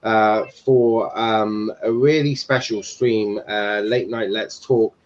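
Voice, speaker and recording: A man's voice: fairly low pitch, fairly even intonation, slow pace. A young man hosting a casual football commentary stream, rambling with fillers and swearing. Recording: dull lo-fi stream audio.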